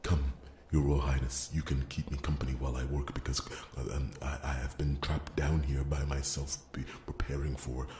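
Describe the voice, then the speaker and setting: An extremely low-pitched male blacksmith from a fantasy ASMR tale, acted by a man. He rambles nervously in a soft, breathy half-whisper, tripping and stammering over his words. The recording is roomy and echoing, with clear background noise. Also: little pitch movement, normal speed. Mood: eager, earnest. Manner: hesitant, stammering, rambling, apologetic. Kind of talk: ASMR fantasy roleplay monologue, soft-spoken.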